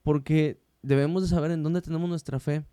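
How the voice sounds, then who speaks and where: Male host, relaxed smooth tone, dry close mic, talkative with lots of restarts. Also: fairly high pitch, fairly quick, dry recording. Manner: chatty, slangy, many restarts. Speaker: podcast host. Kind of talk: casual podcast conversation.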